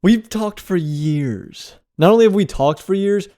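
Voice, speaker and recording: A man co-hosting a podcast in casual banter, giving deadpan, mock-grumpy comebacks. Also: high-pitched, unhurried, no room sound, studio quality. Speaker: podcast co-host.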